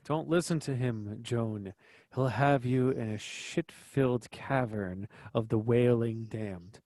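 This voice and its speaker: A man's voice: mid-range voice, takes it slowly, a little mellow, dry recording, clean studio sound, lightly acted. A man playing a stern, dutiful warrior archangel in a play reading, speaking firmly and reprovingly. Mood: stern, scornful. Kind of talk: virtual table read of a stage play.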